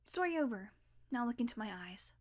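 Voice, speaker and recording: A woman's voice: on the high side, very animated. A woman playing a sardonic, blunt vampire who is guardedly caring and coaxing, in an intimate audio roleplay. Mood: irritated, guarded. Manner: sarcastic, blunt, coaxing, sighing, deliberate pauses.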